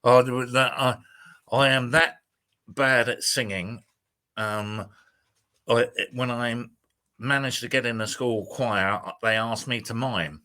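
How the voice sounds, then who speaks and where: A man's voice: mid-range voice, steady tone, slow, pushed and bright. An older man, a guest on an interview show, speaking hesitantly with dry humour. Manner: hesitant, many ums and restarts, dry humour. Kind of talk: casual interview.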